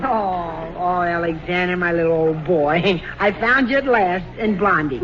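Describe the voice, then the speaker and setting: A man playing a bumbling, excitable, affectionate father who stammers and flusters in an old radio sitcom. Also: sits high, measured pace. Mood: delighted, proud. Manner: flustered, stammering, self-satisfied. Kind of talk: 1940s radio sitcom acting. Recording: muffled, noisy old radio, crowd behind.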